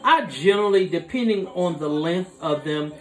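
A man in his forties hosting a home cooking demonstration, explaining each step in a relaxed, instructive way as he works at his kitchen counter. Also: high-pitched, normal speed, reverberant, poor audio. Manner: relaxed, instructive, talks while working with his hands. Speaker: cooking show host. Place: home kitchen with dining room behind, indoors.

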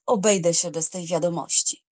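A woman's voice: fairly low pitch, steady tone, normal speed, a little mellow, natural voice. A woman acting a proud, scornful young noblewoman in an audiobook drama, speaking in a cold, formal, haughty manner. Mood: cold, offended.

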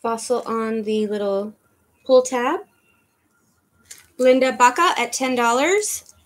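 A woman's voice: on the high side, slow pace. A woman in her fifties hosting a live online handbag auction from her living room, chattily calling out bids and thanking viewers by name. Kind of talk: live-stream auction patter. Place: living room at home, indoors. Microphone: webcam in front of her.

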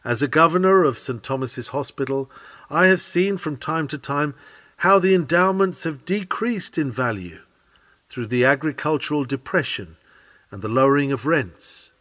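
A man's voice: a little high, lively intonation, moderate pace, a bit bright, dry recording. A man reading a sermon aloud in an earnest, oratorical manner. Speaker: sermon reader.

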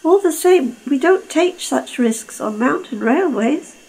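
A woman's voice: high-pitched, expressive, a little slow, a little mellow, voice pushed up, rough recording. A woman voicing an old, patched-up male mountain engine who speaks in a dry, teasing way.

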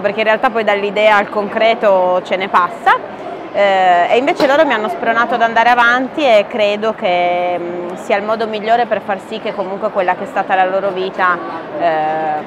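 A woman's voice: medium pitch, background noise. A woman in her thirties, an author answering interview questions earnestly and fluently, with frequent fillers, on a lapel mic. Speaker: author interviewed at a book fair. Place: busy indoor book fair hall.

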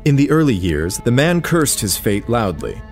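A man's voice: mid-range voice, big pitch swings, moderate pace, soft background music. A man narrating a story in an audio drama, reading scripted prose as the narrator.

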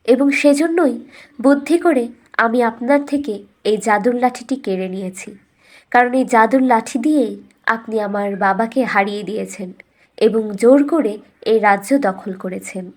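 A woman's voice: on the high side, a little slow. A woman voicing a defiant, proud young princess in a cartoon fairy tale, speaking in a firm, declarative manner. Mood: proud defiance.

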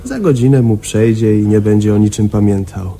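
An actor playing a delusional, paranoid patient who confides in a chummy, conspiratorial way in a radio play. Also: male voice, on the low side, brisk, warm tone, lightly acted. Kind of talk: Polish radio play acting.

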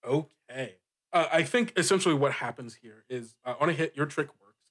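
A man improvising narration as a game master, casual and halting, with lots of filler words. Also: a little high, background noise. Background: music bed.

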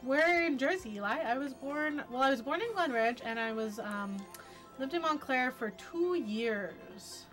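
A woman's voice: high-pitched, lively intonation, unhurried, a bit bright, low-quality recording. Tipsy, chatty young woman gaming. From US East Coast. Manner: chatty, swears often, giggly. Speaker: co-streamer guest. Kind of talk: casual gaming stream chat. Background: game music.

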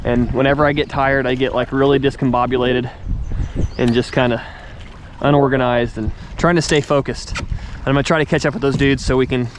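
A chatty, excitable man talks straight to his viewers while he fishes from a kayak on a river. He sounds tired. His action camera picks up clear wind and water noise around him. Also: medium pitch, brisk. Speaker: fishing channel host. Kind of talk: casual on-the-water vlog narration. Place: outdoors on a river, in a kayak and on the bank.

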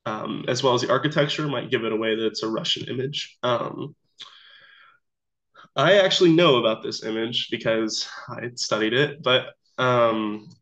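Young man, under the weather, halting casual presenter, muffled webcam sound. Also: medium pitch, a bit bright. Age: young adult. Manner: casual, halting, many ums and restarts. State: sick, voice a little off. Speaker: student teacher presenting an activity. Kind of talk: recorded slideshow walkthrough. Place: small room indoors. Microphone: laptop webcam mic. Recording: dull lo-fi video call audio.

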